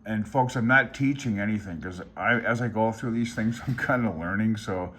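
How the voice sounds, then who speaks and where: A man's voice: fairly low pitch, moderate pace, a bit bright, a little hiss or hum. A man hosting a hobby channel, talking in a casual, rambling way with a folksy, self-deprecating manner. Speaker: hobbyist radio channel host. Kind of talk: casual rambling vlog talk.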